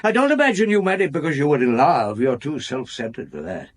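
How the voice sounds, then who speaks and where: An actor playing a weary, probing middle-aged police chief inspector in a radio drama, deliberate and authoritative, with a husky voice. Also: male voice, on the high side, big pitch swings. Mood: probing, scornful. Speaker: lead actor and narrator in a radio detective drama.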